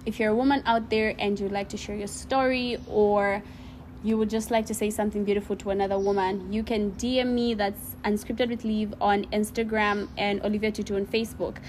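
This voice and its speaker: A woman's voice: medium pitch, little pitch movement, fairly quick, darkish tone, a little hiss or hum. A young adult woman hosting a podcast in a bubbly, chatty way with frequent laughter. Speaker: podcast host. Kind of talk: casual interview chat.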